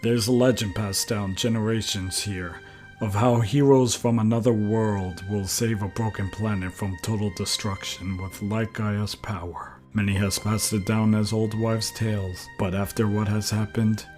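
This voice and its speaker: A man's voice: lowish voice, steady tone, unhurried, darkish tone, soft background music, natural voice. A man playing an earnest, awestruck villager and father in an audio drama. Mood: solemn.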